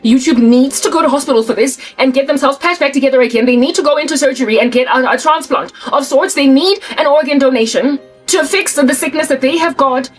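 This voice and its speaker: A woman's voice: high voice, quick pace, few pauses, faint music behind. A South African woman in her forties, talking casually to camera in an emphatic, colloquial rant that repeats and restarts words. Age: 40s. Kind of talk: casual ranting monologue to camera.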